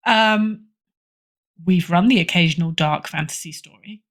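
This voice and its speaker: A woman's voice: fairly low pitch, unhurried, a bit bright. Woman thinking aloud in an interview. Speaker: podcast guest, fiction editor. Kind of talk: casual interview talk.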